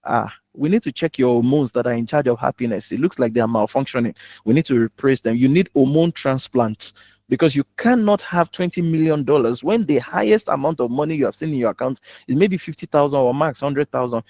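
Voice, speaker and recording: A man's voice: medium pitch, a little fast, darkish tone, dry recording. A man with a Nigerian accent giving an instructional talk as a public speaking coach, emphatic and given to rhetorical questions.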